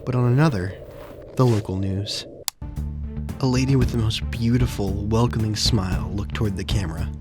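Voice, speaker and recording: A man acting as an uneasy protagonist who narrates a surreal audio drama in deliberate, literary, descriptive phrases. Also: on the low side, over music, close to an everyday voice. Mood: measured, descriptive. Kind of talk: scripted first-person audio drama narration.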